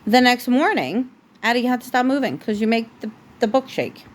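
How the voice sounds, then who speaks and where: A woman's voice: a little high, animated, fairly quick. A woman reading a storybook aloud as a parent at home, with casual asides and the odd stumble over words. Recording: noisy home recording.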